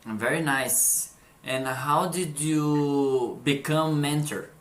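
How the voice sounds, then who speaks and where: A man hosting an interview podcast, asking slow, halting questions. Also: a little high, a bit bright. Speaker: podcast host. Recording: echoey room.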